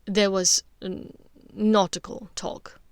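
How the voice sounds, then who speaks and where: A woman voicing an embarrassed young wizard son who talks in a halting, begrudging, awkward way. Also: mid-range voice, little pitch movement, dry recording, lightly acted.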